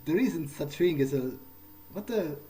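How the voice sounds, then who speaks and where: A man thinking aloud in character during a game playthrough, musing and halting as if talking to himself. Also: fairly high pitch, lively intonation, dark tone. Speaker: gameplay video player.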